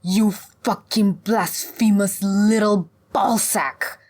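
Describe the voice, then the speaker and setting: A venomous old hag of a cult leader, acted out by a woman narrator, spits out pompous doctrine for a fantasy audiobook. She sounds fanatical and preachy, pitched somewhat above the narration. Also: lively intonation, unhurried, a little mellow. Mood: furious.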